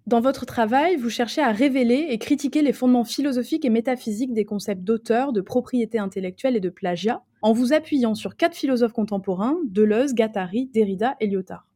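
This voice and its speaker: Woman interviewer, kinda high mellow voice, formal polite prepared questions. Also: a little fast, few pauses. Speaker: podcast interviewer.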